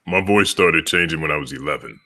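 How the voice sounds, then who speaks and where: A man who sings bass, telling stories in a relaxed way with many fillers on a video call. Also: fairly quick, no room sound. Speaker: opera singer, bass. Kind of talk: casual panel chat.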